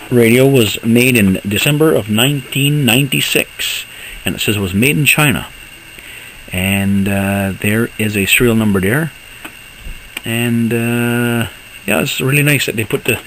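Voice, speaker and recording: A man giving a casual, unscripted walkthrough of equipment as a hobbyist presenter, halting and thinking aloud as he goes. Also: medium pitch, a bit bright, slight background noise, rough recording.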